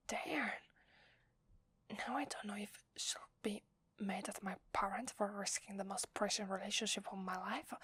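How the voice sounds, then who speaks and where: Breathy, whispering Italian-accented werewolf wife, acted by a woman. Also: mid-range voice, a bit bright, no echo, exaggerated voice. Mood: surprised, flustered, then tender, grateful. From Italy. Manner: hesitant, tender, slow with long pauses. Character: sweet, apologetic werewolf wife confessing to her lover. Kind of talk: whispered ASMR roleplay.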